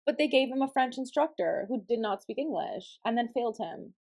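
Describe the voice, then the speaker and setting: A woman's voice: fairly high pitch, expressive, fairly quick, darkish tone. A woman hosting a casual podcast chat, ranting in a heated, profane and emphatic way.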